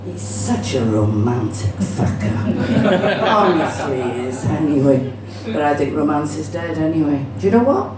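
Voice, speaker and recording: A woman's voice: sits low, very animated, unhurried, a loud crowd. A middle-aged woman with a Cardiff Welsh accent performing live stand-up comedy in a chatty, bawdy manner, swearing freely. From Cardiff, Wales. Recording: roomy, distant, noisy live venue recording.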